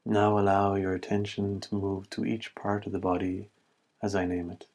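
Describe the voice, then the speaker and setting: A man leading a guided body scan meditation as an instructor, giving calm, measured instructions in short, spaced phrases. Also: low-pitched.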